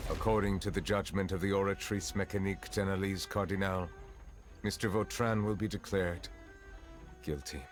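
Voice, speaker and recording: Man acting grave, deep-voiced, courteous judge. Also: lightly acted. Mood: solemn. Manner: formal, measured, restrained. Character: grave, courteous Chief Justice, dragon in human form. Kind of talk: video game voice acting.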